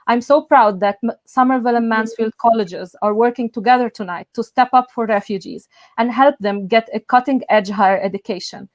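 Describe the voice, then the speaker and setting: A young woman from Egypt, a native Arabic speaker, giving an earnest, measured welcome speech as event host over a video call. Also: on the high side, no room sound. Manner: earnest, measured, with soft filler sounds. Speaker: event host, student union president.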